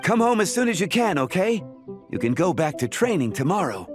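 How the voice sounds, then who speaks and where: Friendly big brother for a game dub: lighter register, lively swings, casual, easygoing, over music. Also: male voice, high voice, a little fast. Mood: gently nagging. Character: friendly, easygoing older brother, young man. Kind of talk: English game dub cutscene acting.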